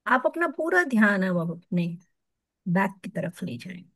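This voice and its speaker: Female meditation guide, Indian accent, very slow and soothing, dry close sound. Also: medium pitch, big pitch swings, no room sound. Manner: soothing, hushed at phrase ends, with long pauses.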